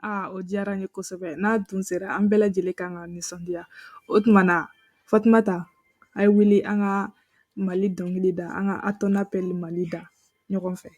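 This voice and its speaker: A woman playing a gentle mother in a cartoon, explaining things patiently to children. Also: medium pitch, level tone, normal speed, lightly acted. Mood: pleased, encouraging. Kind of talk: animated cartoon dialogue in Bambara.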